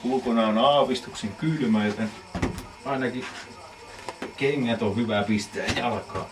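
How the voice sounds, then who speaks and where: Man on noisy camcorder audio. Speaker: amateur video maker demonstrating an experiment. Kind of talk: casual narrated demonstration. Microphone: camcorder microphone. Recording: noisy low-quality old camcorder audio.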